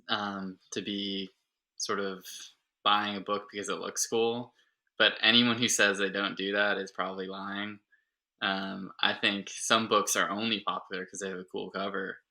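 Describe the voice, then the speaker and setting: A man being interviewed as a podcast guest, talking in a relaxed, hesitant way with many fillers. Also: fairly low pitch, gravelly, low-quality recording. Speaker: guest, bookstore owner. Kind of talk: casual interview chat.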